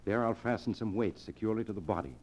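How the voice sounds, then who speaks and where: An actor playing a cold, controlling younger man who plots murder, in the deliberate, insistent style of 1940s radio drama. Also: lowish voice, a little mellow, lightly acted. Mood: coolly scheming. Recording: dull old radio broadcast recording.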